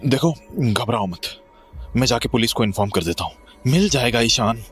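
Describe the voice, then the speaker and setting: A man's voice: mid-range voice, lively intonation, normal speed, breathy edge, soft background music. A man playing a rational, dismissive husband in a horror audio drama, reasoning with mild exasperation. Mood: reassuring. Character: rational, dismissive husband calming his frightened wife.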